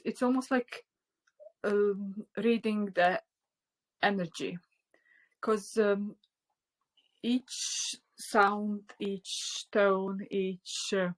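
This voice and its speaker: A woman whose first language is Latvian, leading a live online spiritual class. She talks unscripted at a middle pitch, soft and halting, full of fillers and restarts, at times sinking to a near whisper. The sound comes from a phone mic. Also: rough recording. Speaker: spiritual teacher.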